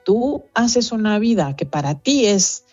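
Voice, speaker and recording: A middle-aged woman with a Venezuelan accent, speaking thoughtfully as an interview guest, pausing with fillers as she reflects. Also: mid-range voice, very animated, a little mellow, no echo, highs cut off, soft background music. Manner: reflective, earnest, with fillers and restarts. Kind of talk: conversational interview.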